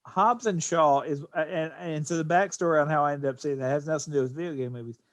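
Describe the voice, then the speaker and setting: Middle-aged male podcaster, slightly high register, conversational delivery, close dry sound. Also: fairly even intonation, fast, dry recording. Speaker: podcast co-host. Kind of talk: casual podcast discussion.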